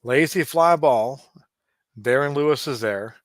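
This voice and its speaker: A man in his late forties doing casual play-by-play of a simulated baseball game, relaxed and chatty with frequent asides. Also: medium pitch, a little slow, no echo, clean studio sound. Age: 40s. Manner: relaxed, chatty, given to asides and small restarts.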